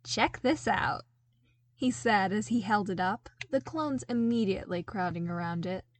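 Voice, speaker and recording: A woman's voice: medium pitch, normal speed. A woman reading a story aloud as a scripted audiobook-style narration. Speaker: podfic narrator.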